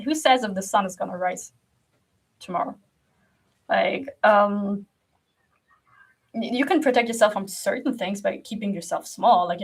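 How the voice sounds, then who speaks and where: A young woman from Germany whose first language is Russian, talking casually to camera as a creative coach, rambling with many fillers and restarts and laughing often. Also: medium pitch.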